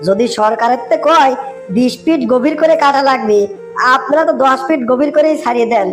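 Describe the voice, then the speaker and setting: A cheeky cartoon underling acted by a woman, a little man with a very high voice and a northern Bangladeshi accent. He sounds respectful on the surface but keeps needling and challenging. There is music behind him. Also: fairly quick, natural voice. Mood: knowing, cheeky. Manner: needling, deferential yet challenging. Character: cheeky, questioning male underling of an official. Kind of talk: comic cartoon dialogue.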